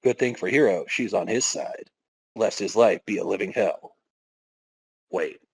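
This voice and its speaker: A man's voice: lowish voice, a little slow, vocal fry, husky, rough recording. A man hosting a podcast, giving a dramatic reading with casual, wry asides. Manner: wry, self-interrupting commentary. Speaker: podcast host.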